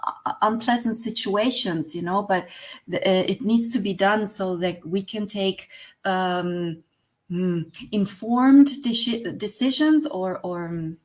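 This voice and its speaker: A woman's voice: mid-range voice, slow pace. Spanish-accented woman, hesitant and laughing. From Spain. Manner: hesitant, searching for words with many fillers, laughs often. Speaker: guest, online business manager. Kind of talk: casual interview chat.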